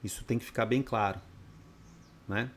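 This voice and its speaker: A man's voice: on the low side, steady tone, normal speed. A man from Brazil, teaching a trading course in a blunt, profane, off-the-cuff lecture full of rhetorical questions. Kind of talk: unscripted lecture to students.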